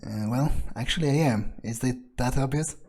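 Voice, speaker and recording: A man's voice: medium pitch, moderate pace, a little mellow, husky. A man doing in-character gameplay commentary, thinking aloud in a musing, muttering, crude way. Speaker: role-playing game streamer, speaking in character. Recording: faint noise and game ambience.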